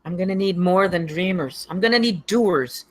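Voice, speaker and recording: A man playing a serious, demanding but guardedly supportive executive in a screenplay table read, measured and cautioning. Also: high voice.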